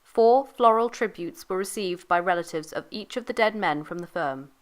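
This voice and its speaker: A woman's voice: fairly low pitch, lively intonation, moderate pace. A woman narrating, reading an old news report from a script.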